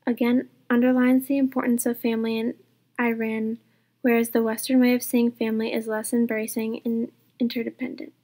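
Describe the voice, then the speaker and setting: Steady low-key young woman reading. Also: brisk. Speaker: student presenter. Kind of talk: reading a book report aloud.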